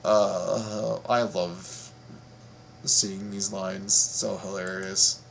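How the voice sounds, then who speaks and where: A man hosting a reaction video, commenting casually in a halting, stammering way and repeating his words. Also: low-pitched, level tone, creaky, a little hiss or hum.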